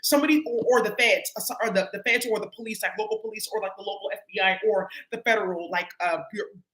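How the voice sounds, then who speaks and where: Casting a woman tarot reader, quick and sharp-toned, slangy and profane, recorded in an echoey room. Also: medium pitch. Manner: loose, profane, heavy with filler words. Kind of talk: casual tarot reading to viewers.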